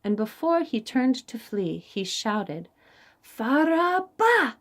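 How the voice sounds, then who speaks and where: A woman narrates a storybook for young listeners. She takes her time, pausing deliberately, and her pitch moves in an animated, dramatic way. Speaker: children's story reader. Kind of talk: expressive storybook read-aloud.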